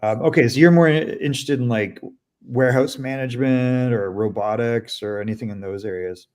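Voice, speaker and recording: A man from Canada hosting a casual live interview, chatty and quick to joke and laugh. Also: medium pitch, a little mellow. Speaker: host.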